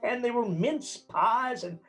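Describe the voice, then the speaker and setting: A man's voice: very high voice, takes it slowly, a bit bright, full character voice. A man playing an old miser emotionally revisiting his past, exclaiming as he goes, in a radio play. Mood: delighted.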